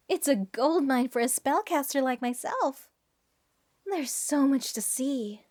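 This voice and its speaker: A woman's voice: sits high, animated, slow, darkish tone. A woman playing a giddy, unhinged mage who gushes over cursed monsters in a fantasy ASMR roleplay. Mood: gushing, fascinated. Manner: breathy gasps, gushing, rambling, sweetly menacing.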